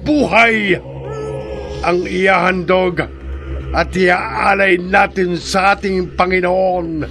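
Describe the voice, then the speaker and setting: An actor playing a zealous male cult leader who leads a dark ceremony, speaking in a solemn preaching manner, in a horror radio drama. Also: high voice, steady tone, slow pace, over music. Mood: fervent.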